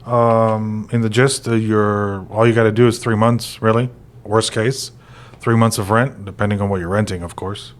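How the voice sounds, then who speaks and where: Low-voiced man rambling casually. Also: moderate pace. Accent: American. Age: 40s. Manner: relaxed, rambling with many fillers. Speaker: real estate podcast co-host. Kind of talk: casual conversational chat.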